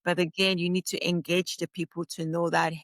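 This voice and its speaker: A woman's voice: on the low side, level tone, fairly quick, no room sound. A woman from Cameroon with a Cameroonian accent, hosting a podcast interview and asking long, enthusiastic questions. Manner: enthusiastic, repeats praise, asks long rambling questions. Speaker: podcast host. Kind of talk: interview conversation.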